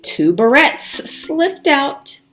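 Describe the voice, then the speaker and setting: A woman reading a children's story aloud in an expressive, emphatic way, pausing for drama between short phrases. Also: high-pitched. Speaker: storybook reader. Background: background music.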